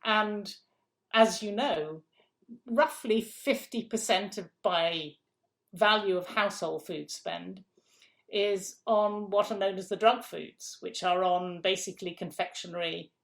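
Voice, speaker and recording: Woman, gravelly edges, mid-range pitch, emphatic, rhetorical questions, repeats her points. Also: measured pace. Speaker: food policy guest. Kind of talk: conversational interview answer.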